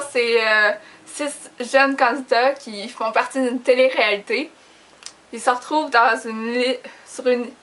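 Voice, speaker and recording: A woman's voice: fairly high pitch, a bit bright. A young woman with a Quebec French accent chatting casually and informally to camera as a book vlogger, in a giggly, self-deprecating way. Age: young adult.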